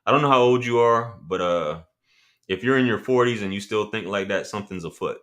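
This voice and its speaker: A man with a deep voice hosting a casual livestream, reading chat comments and talking loosely with profanity and laughing asides. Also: fairly quick, a bit bright.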